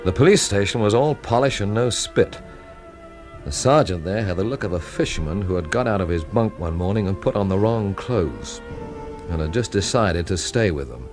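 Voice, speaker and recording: An actor playing a sardonic, wisecracking stranger in town, narrating and talking in a dry, wry way in a radio drama. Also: male voice, low-pitched, animated, normal speed, darkish tone, faint music behind.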